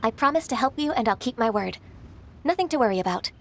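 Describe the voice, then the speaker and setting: A solemn, earnest young official in a video game. Her voice is high, and she speaks formally and courteously in measured phrases. There is faint noise in the background. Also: expressive, a bit bright. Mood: calm, reassuring.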